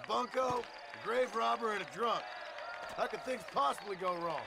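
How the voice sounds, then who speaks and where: A gruff, sardonic frontier gunslinger. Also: male voice, sits high, moderate pace. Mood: weary, skeptical. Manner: dry, sardonic. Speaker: game character. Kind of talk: video game cutscene acting. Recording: noisy outdoor game ambience.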